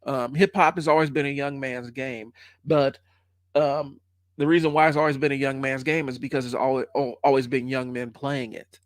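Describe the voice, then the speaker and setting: A man's voice: medium pitch, steady tone, moderate pace, no echo, clean studio sound. Stuffy-nosed man musing casually. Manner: conversational, rambling with many fillers. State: stuffy, has the sniffles. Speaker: podcast host. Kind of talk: casual solo commentary.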